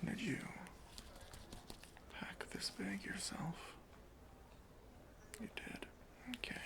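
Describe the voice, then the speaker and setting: An ASMR performer playing a stern, suspicious airport chief security officer in a breathy, creaky whisper, with slow, deliberate, officious questioning. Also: medium pitch. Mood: methodical, suspicious.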